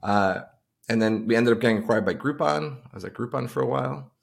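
A man's voice: medium pitch, quick pace, vocal fry. A middle-aged man from Long Island chatting casually as a podcast guest, telling stories in an informal way. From Long Island, United States. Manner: informal, anecdotal storytelling. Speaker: podcast guest, tech entrepreneur. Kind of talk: casual conversational interview.

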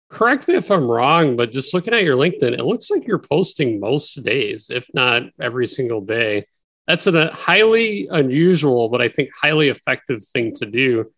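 A man's voice: medium pitch, a bit bright. A man hosting a business interview podcast, greeting and questioning his guest in an upbeat, enthusiastic way. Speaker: podcast host.

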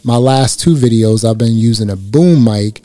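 A man with a slightly raspy voice reviewing a microphone in a casual, chatty way, speaking close into a dynamic mic. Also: mid-range voice, normal speed, no room sound. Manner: relaxed, chatty, many "you know" fillers. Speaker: gear reviewer. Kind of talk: casual product review to camera. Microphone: close dynamic microphone through a processor.

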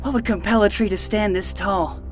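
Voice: medium pitch, steady tone, moderate pace, faint music behind, lightly acted. An actor playing a dramatic twelve-year-old boy who talks like a self-narrating hard-boiled private detective in a fiction podcast. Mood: brooding, self-important. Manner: theatrical, self-narrating in third person.

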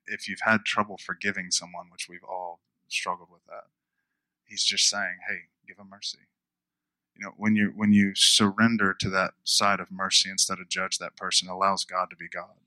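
A man preaching a conversational sermon, repeating phrases for emphasis, with a smooth radio-style voice. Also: sits low, flat delivery, normal speed, sharp tone, no echo, low-quality recording. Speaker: pastor.

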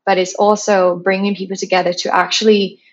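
A woman's voice: mid-range voice, a little fast, slight background noise. A woman from Thailand in her thirties, talking casually as an interview guest and filling her story with "like". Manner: chatty, frequent "like", animated retelling. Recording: slightly dull lo-fi sound.

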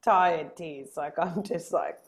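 A woman in her thirties co-hosting a podcast over a headset, telling animated stories about family life. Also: sits low, takes it slowly. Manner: animated storytelling with acted-out quotes. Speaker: podcast co-host. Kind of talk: casual chat. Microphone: headset earphones.

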